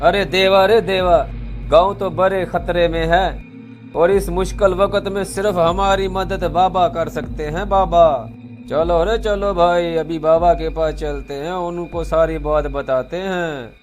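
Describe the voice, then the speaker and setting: A man playing an alarmed village headman in a horror story, exclaiming and calling out in drawn-out words. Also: high voice, fairly even intonation. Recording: music behind.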